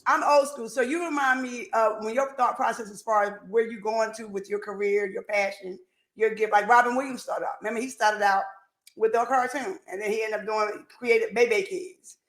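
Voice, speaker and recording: Bright-voiced woman host in an echoey room. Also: on the high side, normal speed. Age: 40s. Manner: animated, repeats phrases, faith-filled talk. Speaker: podcast host. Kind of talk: casual chat.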